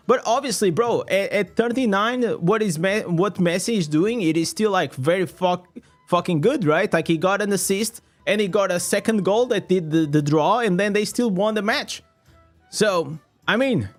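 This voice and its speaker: Yo portuguese dude streaming, high pitched, swears a lot, keeps restarting, soft music under it. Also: male voice. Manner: rambling, swears often, many restarts. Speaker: streamer reading chat. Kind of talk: casual live stream chat.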